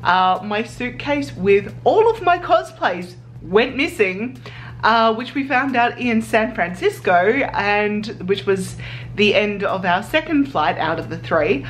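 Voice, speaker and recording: A woman's voice: medium pitch, lively intonation, slow pace. A young woman vlogging in a chatty, bubbly way, laughing often and restarting sentences. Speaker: vlogger and cosplay judge. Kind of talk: casual vlog chat.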